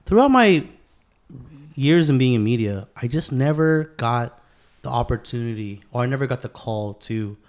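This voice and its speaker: A male podcast co-host with a middle-pitched voice chats casually. His talk is loose and slangy, full of swearing and buddy-style address. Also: animated, moderate pace, darkish tone. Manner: profane, slangy, peppered with "bro" and "dude".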